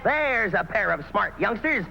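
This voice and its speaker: High-voiced man in a vintage kids' jingle. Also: expressive, measured pace, vocal fry, low-quality recording. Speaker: commercial pitchman and jingle singer. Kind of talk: vintage children's TV ad, singing and announcing. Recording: old TV soundtrack under loud music.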